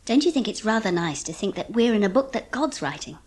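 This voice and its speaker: Husky, expressive Edwardian mother. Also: mid-range voice, fairly quick. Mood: wistful, encouraging. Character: gentle, loving mother in Edwardian England, brave but wistful. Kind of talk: dramatised audiobook narration and dialogue.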